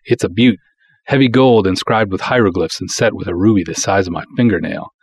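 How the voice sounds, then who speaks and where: A man's voice: sits low, fairly quick, slightly rough, no room sound. A man narrating a pulp adventure in the first person as an earnest, smitten small-town cop hero.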